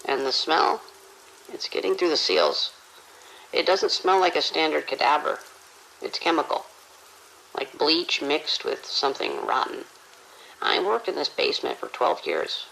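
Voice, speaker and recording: Nervy, insistent male morgue attendant for horror audio drama, played by a man. Fry in the voice, faint background noise. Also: fairly high pitch, very animated, unhurried, pauses often, bright, forceful tone, full character voice, rough recording. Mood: scared, insistent. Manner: uneasy, insistent. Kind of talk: radio drama acting.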